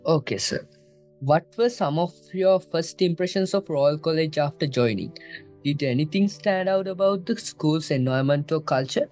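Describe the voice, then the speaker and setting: Polite young Sri host. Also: male voice, on the high side, normal speed, a little mellow, soft background music. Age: young adult. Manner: polite, addresses guest as sir. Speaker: student podcast host. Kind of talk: scripted interview questions.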